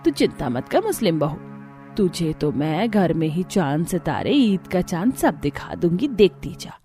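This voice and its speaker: A woman's voice: fairly low pitch, sing-song, measured pace, mellow, pitched below the performer's own voice. Cartoon villain mother-in-law voiced by a woman: middle-aged, harsh, sarcastic, scolding, over music. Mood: sly, menacing.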